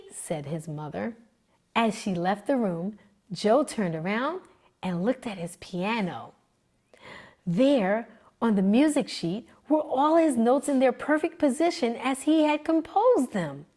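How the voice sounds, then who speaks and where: A woman librarian reading a children's book aloud, gentle and expressive in her storytelling. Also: medium pitch.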